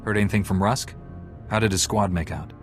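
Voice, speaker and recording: Deepish, confident Jedi hero, played by a man. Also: a little fast, lightly acted. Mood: calm. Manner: assured, direct, courteous. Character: calm, confident heroic young Jedi knight. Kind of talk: video game acting. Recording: music behind the voice.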